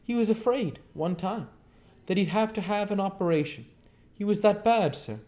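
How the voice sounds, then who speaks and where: Man putting on a high-voiced chatty country landlady. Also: moderate pace, mellow, lightly acted. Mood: earnest, rambling. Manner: rambling, rustic, folksy phrasing. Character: chatty, deferential country landlady, middle-aged woman.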